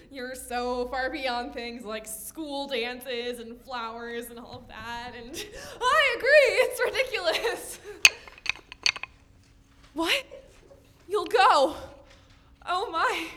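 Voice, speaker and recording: A lovestruck, dramatic teenage girl with a high, bright voice. She is earnest and theatrical, taking her time as she gushes or frets, in the style of a comedic audio drama. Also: lively intonation, takes it slowly, a little hiss or hum, full character voice. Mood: overjoyed. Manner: gushing, theatrical, exasperated with family.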